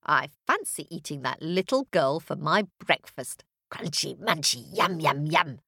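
A menacing old storybook witch, played by a woman, greedy and quick to rage. The delivery is highly animated, wheedling and growling across a wide pitch range. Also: breathy edge, dry recording, studio quality, full character voice.

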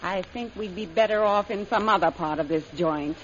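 A woman's voice: mid-range voice, normal speed, close to an everyday voice. An actress playing a wary, wisecracking female secret agent in an old radio serial, with a sardonic, slangy delivery. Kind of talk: old-time radio adventure serial acting. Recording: muffled old radio recording, faint noise.

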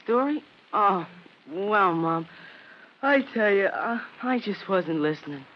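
An actor playing a troubled, sulky American schoolboy in an old-time radio drama, hesitant and grumbling. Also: male voice, sits high, expressive, slow. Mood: distracted, sullen. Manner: hesitant, grumbling, boyish exclamations. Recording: muffled, hissy old radio recording.

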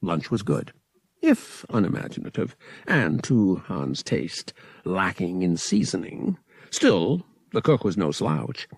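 A man reads scripted fiction as a dramatic audiobook narrator. His delivery is unhurried with wide swings of pitch, and some gravel sits in the voice. The recording is dry. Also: wildly swooping pitch, no room sound.

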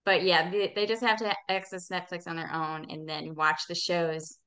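A woman speaking as an interview guest in casual, halting conversation, often correcting herself as she explains. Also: lowish voice, level tone, fairly bright tone. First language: English. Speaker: podcast guest, language club organizer.

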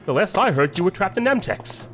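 A woman acting as an impatient federal agent who presses her point. Her delivery is clipped with lively swings in pitch, and faint music plays behind this audio drama scene. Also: fairly quick, close to an everyday voice. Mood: wary.

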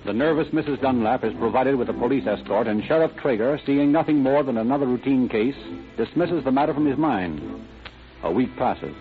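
Actor as dramatic radio narrator over loud music. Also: male voice, mid-range voice, fairly quick, narrow, tinny audio, natural voice. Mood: grave. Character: grave, dramatic male crime-story narrator.

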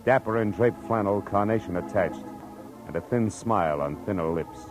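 An actor playing a wry, world-weary private detective narrating in a 1940s radio drama style. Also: male voice, fairly low pitch, moderate pace, lightly acted. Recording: old radio recording with music.

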